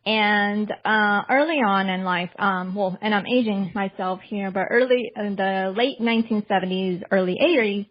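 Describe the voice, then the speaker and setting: A woman's voice: mid-range voice, moderate pace, few pauses, lo-fi sound. A woman in her forties from Colombia, a guest author talking casually in an interview, chatty and affirming, with "uh" fillers.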